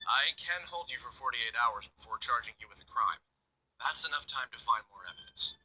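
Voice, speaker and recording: An actor playing a stern, wry male police detective in a visual novel game, with a husky voice and firm, matter-of-fact authority. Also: medium pitch, lively intonation, brisk, bright, forceful tone, full character voice, poor audio.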